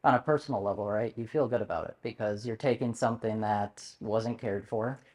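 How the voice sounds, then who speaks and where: A man's voice: on the low side, fairly even intonation, fairly quick, darkish tone. A man, an investor guest on an office podcast, explaining his approach in an easygoing, agreeable way with many "yeah"s. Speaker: real estate investor guest. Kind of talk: casual chat.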